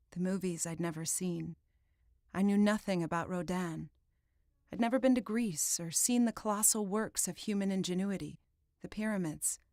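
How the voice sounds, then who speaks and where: A woman reading first-person fiction as an audiobook narrator, in a dramatic, deliberate literary style. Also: on the low side, no echo, clean studio sound.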